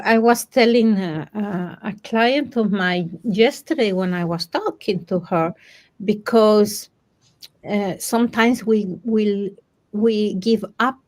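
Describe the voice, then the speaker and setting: A woman from Panama with a Spanish accent, telling personal stories conversationally in halting, self-correcting English as a coach. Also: mid-range voice, a little mellow. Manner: halting, self-correcting second-language phrasing. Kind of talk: conversational personal storytelling in a group discussion.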